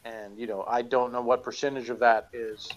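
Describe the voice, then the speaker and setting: A man's voice: mid-range voice, level tone, normal speed, dull audio. A man chatting casually at his home desk, wearing headphones, reviewing an audio drama in a rambling, self-deprecating way with lots of restarts. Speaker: show co-host. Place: home room with bookshelves, indoors. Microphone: headphones on, desk camera.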